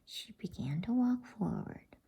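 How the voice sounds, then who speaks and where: A woman reading a bedtime story in a soft, breathy ASMR whisper, gentle and unhurried. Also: on the high side, animated.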